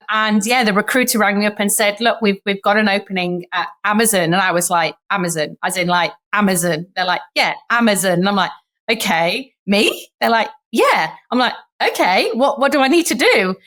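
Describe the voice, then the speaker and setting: A woman in her thirties with a Sheffield English accent, talking as a podcast guest. She tells stories in a chatty way and acts out the voices of people in them. Her pitch sits in the middle for a woman. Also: fairly quick, a bit bright. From Sheffield, England. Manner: chatty storyteller who acts out remembered dialogue. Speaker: guest, startup founder. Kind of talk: podcast interview.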